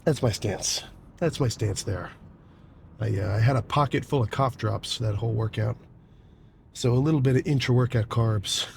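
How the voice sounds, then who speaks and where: A man's voice: mid-range voice, moderate pace, darkish tone, a little hiss or hum. Stuffy-nosed young man rambling casually. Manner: laid-back, rambling, swears freely, frequent throat clearing. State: stuffy, congested with a cold. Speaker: bodybuilding vlogger. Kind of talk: casual vlog talk to camera.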